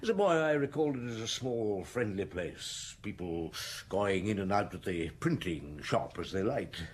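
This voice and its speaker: Man acting police chief inspector, low expressive voice, measured, wry, probing, audio drama, noisy background. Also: lightly acted. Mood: polite, probing.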